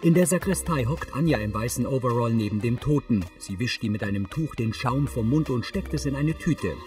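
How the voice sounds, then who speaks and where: A man's voice: medium pitch, lively intonation, brisk, a little mellow. Male narrator over music. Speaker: audio description narrator. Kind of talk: scripted narration.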